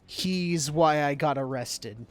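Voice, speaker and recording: A man's voice: on the high side, slow pace. A man streaming a video game with casual, wry, joking commentary. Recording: game music underneath.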